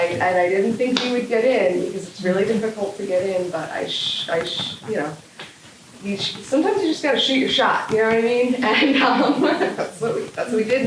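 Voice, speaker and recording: A woman's voice: medium pitch, background noise. A woman farmer on a discussion panel, talking casually and upbeat with plenty of fillers. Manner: chatty, upbeat, many fillers. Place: event room. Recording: roomy, distant mic.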